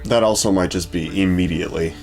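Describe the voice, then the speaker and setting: Deep laid-back man. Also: fairly quick, a little mellow, soft background music. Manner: laid-back, short agreeing replies. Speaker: reaction show co-host. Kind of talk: casual chat.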